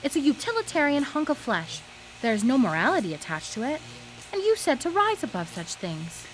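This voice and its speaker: A woman's voice: high voice, sing-song, a little fast, faint music behind, low-quality recording. An actress playing an earnest, trusting young female assistant in a live radio drama. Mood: puzzled, argumentative.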